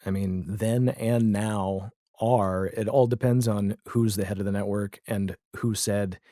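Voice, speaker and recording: A man's voice: lowish voice, steady tone, unhurried, mellow, dry recording, studio quality. An American man in his forties chatting casually and anecdotally as a podcast guest. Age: 40s. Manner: chatty, acts out imagined dialogue. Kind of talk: casual anecdotal interview chat.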